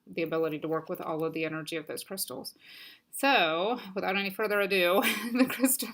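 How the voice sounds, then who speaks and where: A woman with a somewhat low voice talks through a weekly crystal card reading in a gentle, unhurried way. Her voice sounds a bit stuffy and scratchy from allergies. She fills her pauses with soft ums. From United States. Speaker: crystal and oracle card reader. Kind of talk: casual spiritual card reading.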